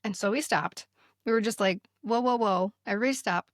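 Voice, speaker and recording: A woman's voice: medium pitch, a little fast, no echo, studio quality. A woman in her fifties co-hosting a podcast in a conversational, lightly scripted style. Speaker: podcast co-host.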